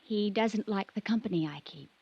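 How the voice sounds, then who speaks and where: A woman's voice: mid-range voice, normal speed, creaky, breathy edge. A woman acting a defiant, headstrong young rancher's daughter in an old-time radio drama. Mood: cool, probing. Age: young adult. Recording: muffled vintage radio recording.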